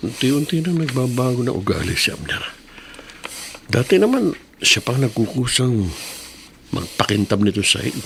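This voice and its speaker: A man's voice: medium pitch, very animated, normal speed, gravelly, pauses often, a bit bright, slight background noise. An actor playing a kindly, easygoing middle-aged male office boss in a radio drama, with a Filipino accent. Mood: puzzled, concerned. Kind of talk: Tagalog radio drama acting.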